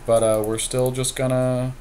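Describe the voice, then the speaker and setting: A man's voice: mid-range voice, level tone, moderate pace, a little mellow, slight background noise. A man giving casual, slangy game commentary with some swearing, as a podcast host playing along. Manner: slangy, profane.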